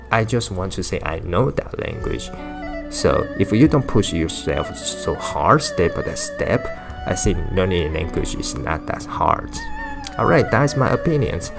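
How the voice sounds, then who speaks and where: Casual teacher type: low-voiced man whose first language is Chinese, talks to camera with halting restarts over music. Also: creaky. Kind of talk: casual talking-head explainer.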